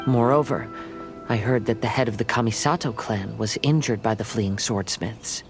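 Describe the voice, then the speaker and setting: A man playing a gentle young wandering samurai in a video game, speaking in measured, formal, reflective phrases. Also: fairly low pitch, music in the background, natural voice. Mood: calm, reflective. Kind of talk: video game story dialogue.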